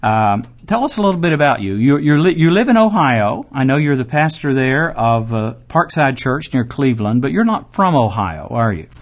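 A man's voice: medium pitch, big pitch swings, moderate pace, hardly any pauses. Gracious male podcast host. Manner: gracious, encouraging questioner. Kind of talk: interview.

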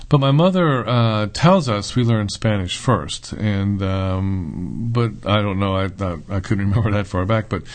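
A middle-aged man, a seminary professor, talks as a guest in a relaxed interview, pausing often to think. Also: on the low side. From United States. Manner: thoughtful, with frequent "uh" and "um" pauses.

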